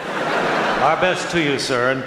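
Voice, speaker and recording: Deadpan radio announcer, man, echoey recording. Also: mid-range voice, takes it slowly, heavy background noise. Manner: deadpan, formally polite. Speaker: radio show host and announcer. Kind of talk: old-time radio comedy announcing.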